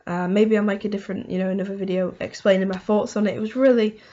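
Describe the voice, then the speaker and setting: A woman with a hoarse voice from a cold, hosting a casual motorsport race review and rambling through it with lots of "um" and "you know". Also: mid-range voice, flat delivery, fast, mellow, highs cut off. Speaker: motorsport video host.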